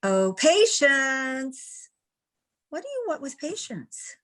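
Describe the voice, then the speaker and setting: A woman playing a poised, smitten newspaperwoman in an old-time radio romance, measured, tender and ladylike. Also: high-pitched, sing-song, a bit bright, faint music behind, full character voice. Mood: flustered. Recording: video-call radio play recording.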